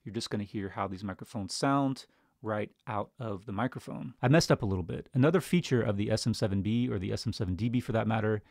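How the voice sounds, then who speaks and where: A man in his thirties with a fairly low voice reviews gear in a casual, conversational way. He speaks close to a studio microphone, and the sound is very dry, with no room around it. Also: fast, gravelly, a little mellow, no room sound. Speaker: tech reviewer. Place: small attic home studio, indoors. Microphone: close studio microphone on desk stand.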